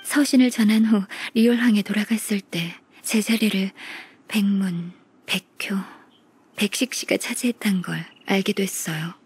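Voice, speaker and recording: An actress playing a gentle, formal, melancholy half-immortal young secretary in a game, speaking softly with polite, measured resignation. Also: female voice, mid-range voice, steady tone. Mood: resigned, wistful. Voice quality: very breathy, soft. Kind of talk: Korean game voice acting. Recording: music behind the voice.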